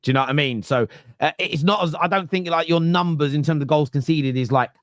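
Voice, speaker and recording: A young man with a Cockney accent hosting a football fan podcast, teasing and animated, often talking over the others. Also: fairly high pitch, a little fast, no room sound, clean studio sound. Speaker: podcast host. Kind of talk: heated football fan debate.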